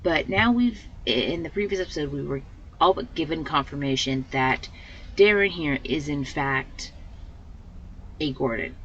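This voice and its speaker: A woman with a slightly hoarse voice hosting a let's play, giving casual commentary and thinking aloud as she plays. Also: deep voice, expressive, a little slow, a bit bright, muffled recording, a little hiss or hum. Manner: rambling, thinking aloud with fillers.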